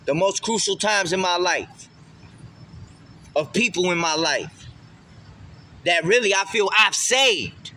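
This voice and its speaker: Male vlogger, slightly high and forceful, emphatic street-slang delivery in a casual faith monologue, faint ambient noise behind. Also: lively intonation, slow, long pauses. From Puerto Rican heritage. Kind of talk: casual spiritual vlog monologue.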